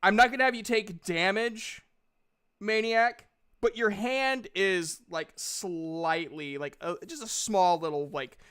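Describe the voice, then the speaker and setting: A woman improvising casual narration as a tabletop game master, haltingly restarting her descriptions. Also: mid-range voice, fairly bright tone, clear, resonant tone.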